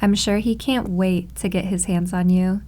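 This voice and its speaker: A woman's voice: medium pitch, steady tone, normal speed, warm tone, a little hiss or hum, natural voice. A woman acting as a doting, possessive maid girlfriend in an ASMR roleplay, cooing and confidently teasing. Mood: cooing, affectionate.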